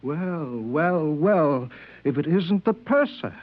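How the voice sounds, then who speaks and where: Smooth scheming demagogue, tinny old radio. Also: male voice, fairly high pitch, lively intonation, takes it slowly, dry recording. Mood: slyly friendly. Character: scheming male demagogue, smooth, menacing, fanatically manipulative. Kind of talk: 1950s radio drama acting. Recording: thin, dry old radio broadcast recording.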